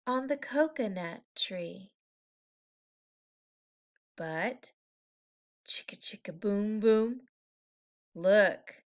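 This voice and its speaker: A woman preschool teacher reading a picture book aloud to young children in a playful, expressive, sing-song manner. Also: mid-range voice. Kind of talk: animated children's story read-aloud.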